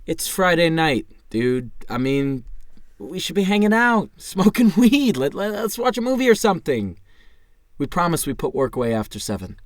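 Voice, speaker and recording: A man's voice: a little high, sing-song, moderate pace, no echo, clean studio sound. A young man with an American accent acting a defensive, needy slacker boyfriend in a naturalistic audio play, stammering in casual phrasing. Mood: pleading, sulky.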